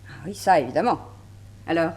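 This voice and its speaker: An actress playing a detective's lively, skeptical female partner with a French accent, expressive and playful in 1960s radio drama style. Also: low-pitched, a little fast. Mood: curious, amused.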